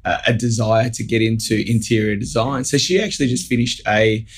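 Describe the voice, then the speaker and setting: Croaky man hosting a podcast. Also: mid-range voice, fairly even intonation, brisk. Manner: easygoing, frequent "yep" acknowledgements. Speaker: podcast host. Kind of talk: casual podcast interview.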